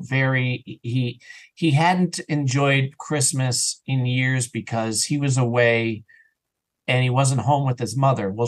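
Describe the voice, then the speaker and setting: A man chatting casually and enthusiastically as an interview guest, rambling and animated, sometimes acting out bits of dialogue. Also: medium pitch. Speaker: interview guest, audio drama writer and actor.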